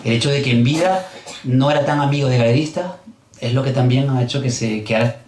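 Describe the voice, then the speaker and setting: A man's voice: mid-range voice, darkish tone. A man giving an explanatory lecture talk at a book presentation. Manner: quick and explanatory. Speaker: author and speaker. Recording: echoey hall, faint noise.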